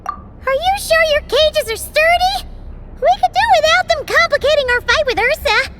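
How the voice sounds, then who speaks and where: A woman voicing a tiny, childlike fairy companion in a video game, squeaky, curious and anxious. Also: normal speed, sharp tone, slight background noise. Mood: worried.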